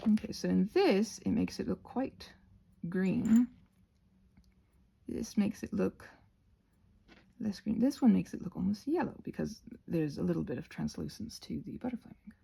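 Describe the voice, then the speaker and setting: A woman's voice: mid-range voice, animated, moderate pace, gravelly, pauses often, dark tone, husky. A woman giving a casual, rambling craft tutorial, often interrupting herself with playful asides.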